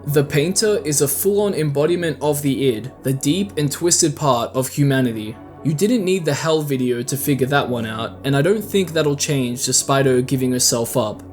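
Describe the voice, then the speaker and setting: A man's voice: medium pitch, normal speed, few pauses, a little mellow. A man delivering scripted video essay commentary in a conversational, opinionated way. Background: background music.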